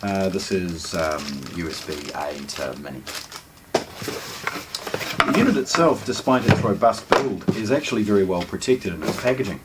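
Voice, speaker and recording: A man giving a casual hands-on product unboxing commentary, explaining things with hesitant pauses between phrases. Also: sits low, sing-song, very noisy. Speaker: product presenter.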